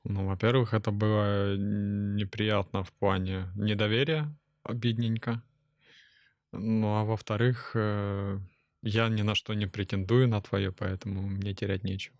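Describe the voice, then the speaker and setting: Laconic low-voiced man, deadpan. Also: moderate pace, no echo. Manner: laconic, dry deadpan humor. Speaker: fitness coach and nutritionist, guest. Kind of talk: casual podcast interview.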